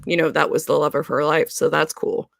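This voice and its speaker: A woman co-hosting a podcast, chatting casually about a TV series, animated and laughing often. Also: sits low, brisk, vocal fry, dry recording, clean studio sound. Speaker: podcast co-host. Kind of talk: casual fan discussion.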